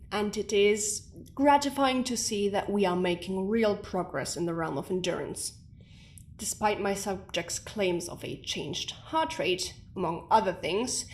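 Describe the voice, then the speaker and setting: A woman's voice: mid-range voice, a little mellow, a little hiss or hum, lightly acted. Woman acting a stern, dry lab scientist. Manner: formal, measured, dry. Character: stern, clinical woman scientist, dryly exasperated. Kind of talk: audio drama scientific log.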